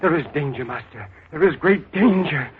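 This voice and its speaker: An actor playing a fearful thief in a 1930s radio drama, pleading and alarmed. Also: male voice, fairly high pitch, expressive, normal speed, slight background noise, close to an everyday voice. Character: fearful thief among the beggars, male adult. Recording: tinny old radio broadcast.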